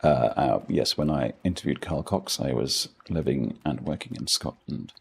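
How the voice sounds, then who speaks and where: Deep gravelly posh English man. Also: moderate pace. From England. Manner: rambling, self-deprecating, dry humour. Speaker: gaming streamer. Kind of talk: casual gameplay commentary chatting with viewers.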